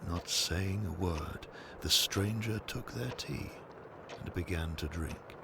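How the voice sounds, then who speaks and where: A man's voice: low-pitched, slow pace, vocal fry, heavy background noise. A man reading scripted, storybook-style narration for an audio drama.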